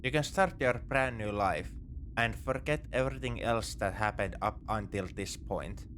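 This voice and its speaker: A man's voice: on the low side, moderate pace, a bit bright, a little hiss or hum, lightly acted. A man playing a wry, morally grey space pirate in a sci-fi ASMR roleplay, with a conversational, nonchalant, dryly teasing delivery. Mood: dry, easygoing. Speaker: audio roleplay performer.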